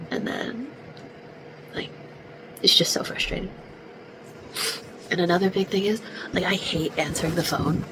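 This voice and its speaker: A woman's voice: on the low side, slow, pauses often. Congested young woman venting, noisy background. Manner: chatty, venting, many "like" fillers. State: congested, losing her voice. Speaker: vlogger. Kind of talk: casual vlog chat.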